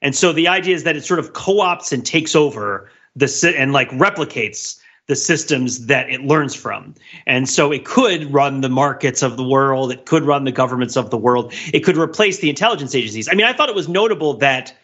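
Male panelist, fairly high bright voice with some rasp, explanatory and conversational. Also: normal speed, dull audio. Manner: explanatory, animated. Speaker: podcast panelist. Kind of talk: casual film discussion.

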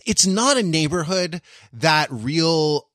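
A man's voice: a little high, animated, slow pace, a bit bright, no room sound, clean studio sound. A man from Los Angeles co-hosting a podcast in casual chat, laughing often and slipping into mock-grand speeches. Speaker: podcast co-host.

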